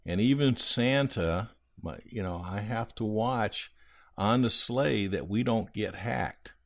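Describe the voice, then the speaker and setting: A man acts out an old Santa Claus with a fairly low voice. He is kindly and easygoing, telling things to children in a folksy, meandering way with small hesitations, as if on a homespun radio show. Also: slow, vocal fry, fairly bright tone, full character voice. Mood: gentle, cautionary. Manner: rambling, hesitant, folksy storytelling. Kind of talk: improvised in-character answers on a children's radio-style podcast.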